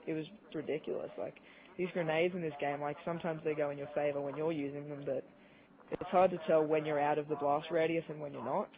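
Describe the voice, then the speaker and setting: A man's voice: a little high, steady tone, quick pace, phone-quality sound. A man in a casual gaming chat over an online voice call, with game audio behind him. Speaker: podcast guest. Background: video game audio.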